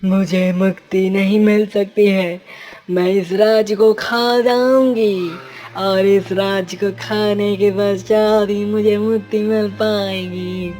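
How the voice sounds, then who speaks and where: A woman voicing a bloodthirsty, vengeful female shadow spirit in a cartoon story, with menacing, drawn-out threats. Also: medium pitch, little pitch movement, mellow, faint music behind, lightly acted. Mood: defiant, threatening. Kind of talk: dramatic cartoon story acting.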